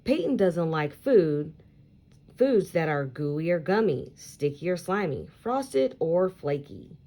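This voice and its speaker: Woman reading slowly with very lively pitch. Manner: animated, emphatic, deliberate phrasing for young listeners. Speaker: teacher reading picture books aloud for children. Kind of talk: expressive children's read-aloud storytelling.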